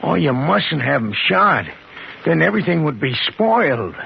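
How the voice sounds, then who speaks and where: Simple, lilting, devoted crook's sidekick. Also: male voice, fairly high pitch, big pitch swings, moderate pace, creaky, breathy edge, full character voice. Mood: eager, obedient. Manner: childlike, deferential, earnest. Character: simple-minded, devoted, timid male gangster's sidekick. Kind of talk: 1950s radio drama acting. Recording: dull, noisy vintage 1950s radio recording.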